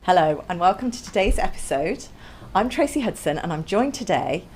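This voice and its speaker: A woman's voice: fairly low pitch, sing-song, normal speed, darkish tone, soft background music. A woman hosting a podcast, chatting casually and laughing often. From England. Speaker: podcast host. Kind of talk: casual chat.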